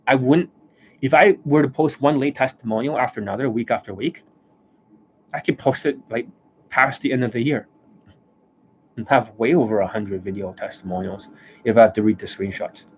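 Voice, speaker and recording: A man hosting a live stream as a dating coach. He rants bluntly and swears often, and his voice is worn and hoarse from overuse, with coughs and throat clearing. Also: medium pitch, fairly even intonation, moderate pace, long pauses, slight background noise. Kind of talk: livestream monologue. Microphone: desk microphone.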